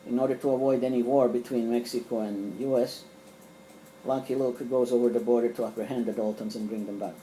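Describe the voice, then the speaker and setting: Mellow-voiced man with a Serbian native tongue. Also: mid-range voice, fairly even intonation, fairly quick, slight background noise, rough recording. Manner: rambling, hesitant with frequent fillers and restarts. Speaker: collector reviewing comics. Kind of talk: casual collector show-and-tell. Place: small room at home.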